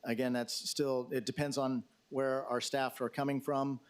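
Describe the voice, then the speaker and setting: A man's voice: mid-range voice, flat delivery, a little slow, clean tone. Hesitant man briefing a council. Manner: hesitant, many fillers and restarts; addresses questioner as sir. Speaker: emergency management official. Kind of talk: answering questions at a council briefing.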